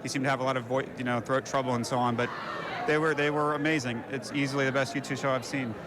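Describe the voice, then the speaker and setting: Man, longtime fan, measured, thoughtful, mid-pitched voice, crowd behind. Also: fairly even intonation. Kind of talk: vox pop reaction. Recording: crowd noise outdoors.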